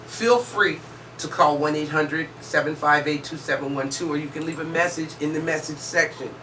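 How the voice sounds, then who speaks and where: A woman giving an informal, emphatic promotional pitch, insistently checking in with the listener as she explains services. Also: normal speed, a bit bright. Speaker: music promotion company chief pitching services. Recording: distant mic, faint background noise.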